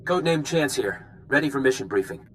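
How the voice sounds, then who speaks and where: An actor playing a dutiful young field agent in a video game, speaking crisply and professionally. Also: male voice, medium pitch, lively intonation, a little fast, a little hiss or hum, lightly acted. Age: young adult. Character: eager, dutiful young male spy.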